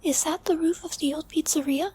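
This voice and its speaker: Echoey, woman as excited shy young girl, high pitch, soft mellow tone, audio drama. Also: a little fast, lightly acted. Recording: echoey, with noisy ambience.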